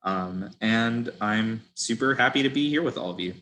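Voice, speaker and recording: A man's voice: fairly low pitch, moderate pace, bright, forceful tone, a little hiss or hum. A young man giving a casual introduction as host and showrunner. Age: young adult.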